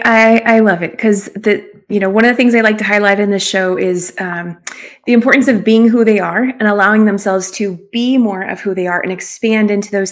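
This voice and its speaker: A middle-aged woman hosting a conversational interview over a video call, affirming and reflecting back what her guest says. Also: mid-range voice. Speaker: show host and confidence coach. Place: small room at home, indoors.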